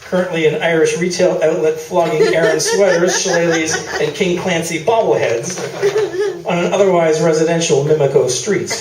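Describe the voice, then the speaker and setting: A man's voice: a little high, sing-song, normal speed, crowd noise. A man playing a sardonic, skeptical newsman in a live staged radio play, delivering dry, needling replies. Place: small theatre stage, indoors. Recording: roomy, distant live theatre video, noisy.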